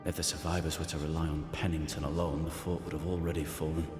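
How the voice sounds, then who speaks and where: A devoted, stern male ghost for a game cutscene. The voice is deep and formal, solemn in its weight. It echoes heavily, with clear music behind it. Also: flat delivery, quick pace. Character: grave, devoted ghost husband, protective and stern. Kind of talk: video game cutscene acting. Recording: echoing hall-like reverb, music behind.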